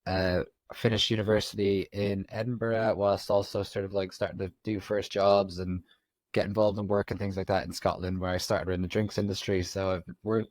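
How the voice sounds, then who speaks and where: A man in his forties with an Irish accent, from Ireland, telling his story as a podcast guest in a reflective, halting way. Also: sits low, no echo. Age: 40s. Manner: reflective, frequent ums and restarts. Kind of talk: candid conversational storytelling.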